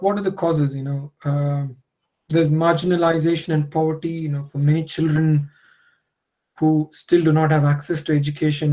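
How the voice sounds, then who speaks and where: Man, lecturer, mellow voice, chatty, lots of you-knows. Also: a little high, rough recording. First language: Telugu. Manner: conversational, many fillers like "you know". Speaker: workshop lecturer. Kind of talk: online lecture.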